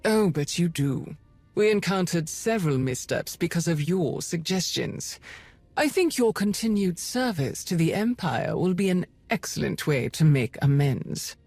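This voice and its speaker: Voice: mid-range voice, expressive, a little slow, a little mellow, dry recording. An actor playing a coolly superior imperial Sith schemer in a video game, speaking formally and precisely. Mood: cool menace. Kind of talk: video game dialogue acting. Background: music.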